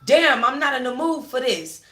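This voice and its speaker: A woman's voice: high-pitched, lively intonation, normal speed, pushed and bright, echoey room. A woman narrating a first-person story for a book club in a dramatic, sassy, slangy manner.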